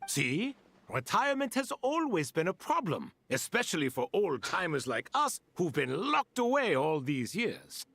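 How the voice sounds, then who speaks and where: High, swooping, theatrical old-timer. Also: male voice, very animated, a little slow, vocal fry, fairly bright tone, dry recording, full character voice. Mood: animated, grumbling. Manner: theatrical, emphatic. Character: excitable, grumbling old-timer guardian, indignant and theatrical. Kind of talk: video game voice acting.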